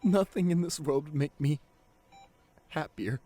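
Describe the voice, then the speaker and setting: A man acting a devoted, guilt-ridden boyfriend at a bedside in an intimate ASMR roleplay, speaking tenderly and haltingly with a lot of emotion. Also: a little high, dark tone. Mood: tender, hopeful. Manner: tender, halting, emotional delivery with repeated phrases.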